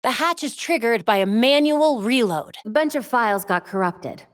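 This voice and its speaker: A woman's voice: on the high side, expressive, normal speed, no echo, clean studio sound, lightly acted. A woman playing a young masked vigilante in a video game, tech-savvy and determined, with a wry, quippy delivery. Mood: focused, wry.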